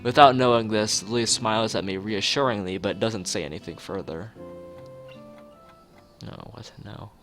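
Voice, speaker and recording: A man's voice: on the low side, moderate pace, long pauses, a bit bright, faint music behind. A man live streaming, mixing joking, mock-formal commentary with reading story text aloud from a game. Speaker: streamer playing a visual novel.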